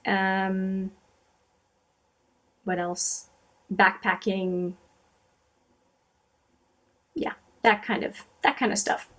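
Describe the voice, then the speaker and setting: A middle-aged woman from Canada, whose first language is French, chatting casually to camera as she answers viewer questions, with many fillers and restarts. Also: medium pitch. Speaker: makeup channel host.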